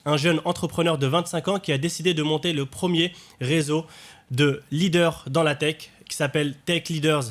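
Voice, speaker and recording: A man hosting a podcast, asking questions in a relaxed, conversational way with frequent fillers and a French accent. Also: fairly high pitch, normal speed. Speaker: podcast host. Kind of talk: casual interview questions.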